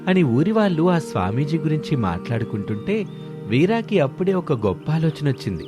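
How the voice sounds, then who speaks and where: A man's voice: on the high side, big pitch swings, a little fast, over music. A man telling a scripted moral tale as storyteller.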